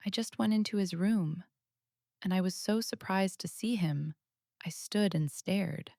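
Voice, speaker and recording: A woman voicing an earnest, matter-of-fact little girl who speaks softly but firmly, in a storybook reading. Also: mid-range voice, measured pace, no echo, studio quality, voice pushed up, lightly acted. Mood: wondering.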